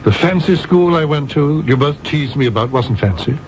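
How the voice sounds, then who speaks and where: An actor playing a prim, aggrieved, principled theatre producer in a radio play, with a formal and reproachful way of talking. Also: male voice, fairly high pitch, animated, normal speed, a little hiss or hum, lightly acted, low-quality recording. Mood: earnest, aggrieved.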